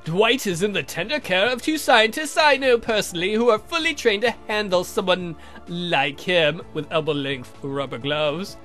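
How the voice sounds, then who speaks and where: A man voicing a sardonic, theatrical vampire count for a visual novel game, mocking and campy in a drawn-out way. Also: mid-range voice, expressive, fairly bright tone, faint music behind, close to an everyday voice. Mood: taunting.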